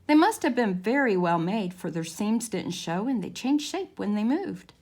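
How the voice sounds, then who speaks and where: Creaky-voiced woman reading a storybook. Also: medium pitch, big pitch swings, normal speed, a little mellow. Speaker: library story time reader. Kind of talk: children's storybook narration.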